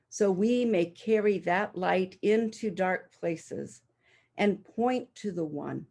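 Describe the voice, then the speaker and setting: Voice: mid-range voice, vocal fry, darkish tone. A person reading a prayer poem aloud in a worship service over a video call from home. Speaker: worship reader.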